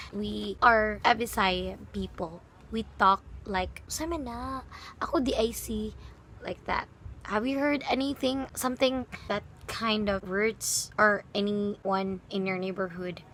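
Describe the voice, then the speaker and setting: A woman's voice: medium pitch, steady tone, a little slow, fairly bright tone, slight background noise. A young woman from the Philippines with a Filipino accent, speaking English as a second language in a chatty, giggly student vlog. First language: Bisaya. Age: young adult. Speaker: student vlogger. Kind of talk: casual vlog talk to camera.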